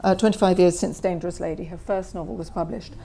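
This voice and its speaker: A woman's voice: fairly low pitch, steady tone, fast, gravelly, mellow, slight background noise. A woman interviewer hosting a live talk before an audience, giving an enthusiastic introduction and then prompting her guest with leading questions. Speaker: interviewer and event host.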